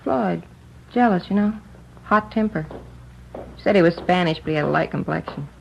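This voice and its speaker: A woman's voice: on the low side, lively intonation, normal speed, creaky, slight background noise. An actress playing a talkative woman clerk in a 1950s radio crime drama, speaking to detectives about a murdered friend. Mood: chatty. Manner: talkative, confiding, a little gossipy. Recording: dull old radio broadcast recording.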